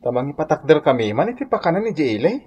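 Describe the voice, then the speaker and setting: A man's voice: a little high, very animated, fast talker, a little mellow, husky, muffled recording. A man playing a humble older brother, deferential and coaxing, in an Ilocano radio drama. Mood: pleading. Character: humble, pleading older brother asking his sister for capital.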